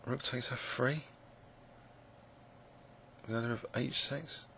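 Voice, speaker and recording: A man with a croaky, gravelly voice, musing hesitantly with many fillers as he commentates his own blitz chess game. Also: mid-range voice, fairly even intonation, takes it slowly, pushed and bright, slight background noise. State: croaky voice, clearing throat. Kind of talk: live game commentary, thinking aloud.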